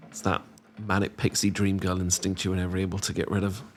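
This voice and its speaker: A young man with a British accent performs an intimate roleplay as if chatting to someone close. His delivery is playful and affectionate, with gentle teasing. He often hesitates bashfully, which makes the scripted lines sound like casual conversation. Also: sits low, monotone, fast talker, slight background noise.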